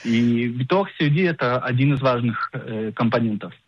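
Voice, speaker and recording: A middle-aged man explaining things as an expert guest in a radio interview, didactic and emphatic, pausing to search for words. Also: mid-range voice, slightly rough. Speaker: insurance agent and financial planner, radio guest. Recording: dull lo-fi radio sound.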